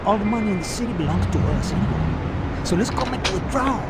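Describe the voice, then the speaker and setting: A man's voice: high-pitched, animated, fast talker, over loud music. An actor playing a loyal, streetwise gang henchman in a video game. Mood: confident. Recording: very noisy game cutscene street ambience.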